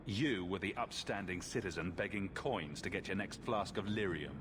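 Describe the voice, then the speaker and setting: An actor playing a sarcastic, dry-witted hero in a fantasy video game. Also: male voice, low voice, normal speed, noisy background, lightly acted. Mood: wry. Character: wry, sarcastic adult male fantasy hero. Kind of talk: video game dialogue acting. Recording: music behind the voice.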